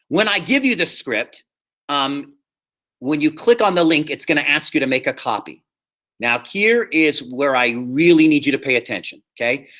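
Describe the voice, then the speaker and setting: Male drama teacher, slightly high, bright forceful voice, informal and explanatory, frequent check-ins. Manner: explanatory, often checks in with "okay?". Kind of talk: informal classroom instruction.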